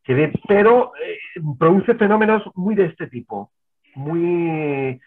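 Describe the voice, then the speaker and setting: Halting man on a tinny call line. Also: a little high, lively intonation. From Spain. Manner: halting, searching for words. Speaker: writer in a panel discussion. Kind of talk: casual discussion. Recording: tinny phone-like call audio.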